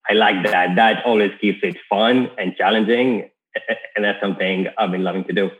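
Male guest, from Kenya, low-pitched, easygoing, heard down a tinny call line. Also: steady tone, moderate pace, a bit bright. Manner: easygoing, with frequent restarts. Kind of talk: casual podcast interview.

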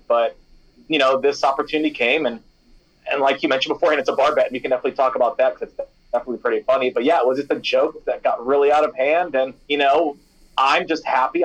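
A young man talks through his story in a loose, chatty way, leaning on fillers as he goes. His voice sits in a middle pitch. The sound is a bit dull and lo-fi, like a remote call. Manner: chatty and animated, many fillers like "you know" and "like". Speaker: snack company founder, former radio DJ. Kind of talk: casual interview answers.

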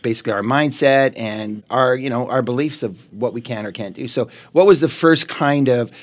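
Male podcast-style interviewer, average pitch, conversational, wordy lead-ins with repeated phrases. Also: moderate pace. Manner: rambling, repeats words while building up to questions.

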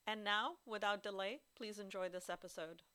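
A woman's voice: medium pitch, steady tone, moderate pace, sharp tone. A woman podcast host reading a scripted show introduction.

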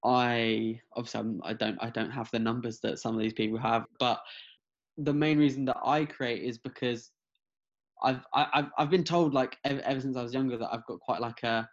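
A man's voice: mid-range voice, steady tone, moderate pace. A man in his twenties, raised in England and France, talking earnestly as a podcast guest, with many restarts. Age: 20s. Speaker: guest, mental health advocate. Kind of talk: casual interview over video call. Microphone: video call. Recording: slightly dull lo-fi audio.

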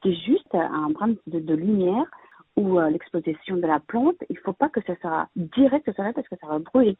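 A woman's voice: fairly low pitch, animated, moderate pace, husky, no echo. A woman of Vietnamese heritage living in France, giving plant-care advice as an invited expert, speaking hesitantly with many fillers and restarts. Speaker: interior plant decorator, guest expert. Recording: dry, phone-like narrow sound.

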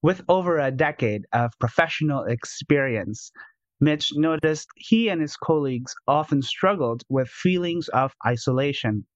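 A man hosting a podcast as a life coach, reading a polished intro and then asking interview questions in a smooth, upbeat way. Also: on the high side, expressive, moderate pace, no room sound, muffled recording.

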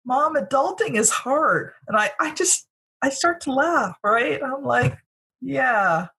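A woman's voice: sits high, lively intonation, takes it slowly, creaky, sharp tone, slightly rough. A woman in her fifties hosting a casual group discussion, encouraging the others and steering the conversation. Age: 50s. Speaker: host.

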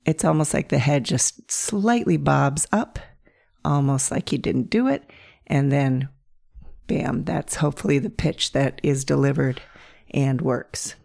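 A middle-aged woman from Pennsylvania hosting her podcast, hoarse and croaky from bronchitis, telling stories in a gentle, playful way. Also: sits low, a little slow. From north central Pennsylvania. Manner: gentle, playful storytelling with soft laughs. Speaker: podcast host. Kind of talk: reflective solo podcast monologue.

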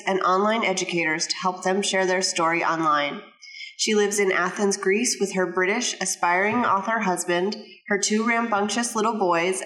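A woman's voice: medium pitch, fairly bright tone, echoey room, poor audio. A woman hosting a podcast, reading a friendly scripted intro and asking questions, recorded in her new home office. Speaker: podcast host.

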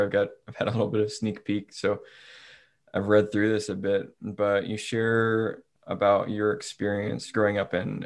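A man with a low voice and a gravelly, creaky edge hosts an interview podcast. He sets up his questions in a friendly, upbeat way, with quick asides. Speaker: podcast host. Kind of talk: casual interview hosting.

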